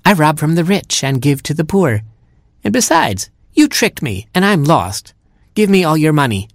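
Man doing a brash, high-voiced robin hero. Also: big pitch swings, moderate pace, dry recording, clean studio sound. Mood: bossy. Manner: bossy, urgent. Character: brash young male robin, self-styled superhero outlaw.

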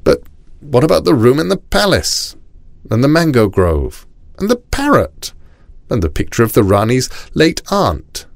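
A man's voice: mid-range voice, huge pitch swings, measured pace, creaky, dry recording, clean studio sound, voice pushed up, heavily acted. A man voicing a fussy, practical Rajah in a storybook, a royal father with pompous, fretful commands. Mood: fretful protest. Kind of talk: storybook character voice.

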